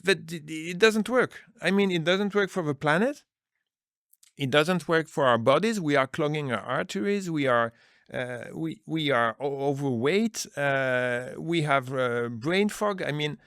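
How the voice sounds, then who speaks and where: Earnest man with a gravelly voice. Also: on the high side, a bit bright, no echo, studio quality. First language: Dutch. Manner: earnest, searching for words, many restarts. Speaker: business manager, interview guest. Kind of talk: conversational interview answers.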